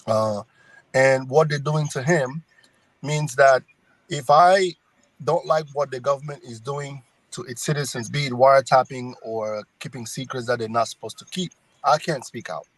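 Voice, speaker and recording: A man's voice: mid-range voice, dry recording, poor audio. A middle-aged man with a Cameroonian accent, speaking conversationally as a political candidate in an interview. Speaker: congressional candidate, guest.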